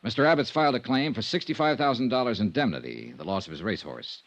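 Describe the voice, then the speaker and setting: An American actor playing a dogged, cool insurance investigator in 1950s radio detective style, clipped and dry. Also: male voice, medium pitch, lively intonation, quick pace, no room sound, lightly acted. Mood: businesslike. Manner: clipped, dry, persistent questioning. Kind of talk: 1950s radio detective acting, first-person narration. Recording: dull vintage radio recording.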